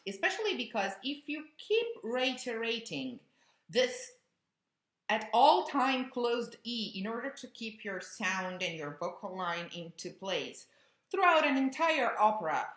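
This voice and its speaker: Expressive opera coach, slow. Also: female voice, mid-range voice. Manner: expressive, imagery-rich teaching. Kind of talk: vocal lesson explanation. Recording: roomy echo.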